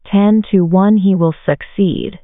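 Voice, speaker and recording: A woman's voice: mid-range voice, dry recording. A woman narrating a language lesson, reading short phrases and then their translations. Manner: reads each English phrase very slowly, Korean lines quickly. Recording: dry, phone-like narrow band.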